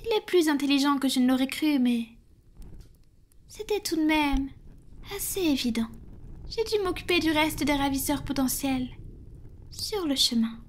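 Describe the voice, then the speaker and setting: A woman playing a powerful, protective female vampire in an ASMR roleplay, speaking in a soft, breathy, formal and self-assured way. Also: sits high, expressive, normal speed, long pauses, a little hiss or hum. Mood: calm, confiding. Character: powerful protective female vampire, commanding yet tender lover.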